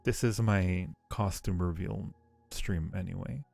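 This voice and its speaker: A man with a low voice and plenty of gravelly fry talks casually to his livestream viewers. His delivery turns halting when he explains things, and faint music plays behind him. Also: a little mellow. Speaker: streamer and audio roleplay creator.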